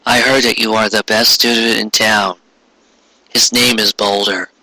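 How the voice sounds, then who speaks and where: A deadpan new schoolgirl voiced by a man in a story reading. The voice sits low and flat, unhurried and unimpressed, in a dry close recording. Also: no echo, heavily acted, poor audio.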